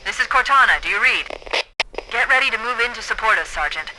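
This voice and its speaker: A woman's voice: a little high, expressive, fast, background noise. An actress playing a composed, authoritative female military artificial intelligence in a video game, giving clipped commands through a radio filter. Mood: brisk, authoritative. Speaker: AI tactical radio coordinator.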